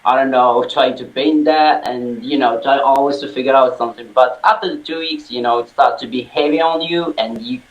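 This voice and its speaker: A man's voice: medium pitch, steady tone, a little fast, few pauses, reverberant, highs cut off. A man from Morocco on a video call, talking heartfeltly and searching for words. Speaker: interviewee.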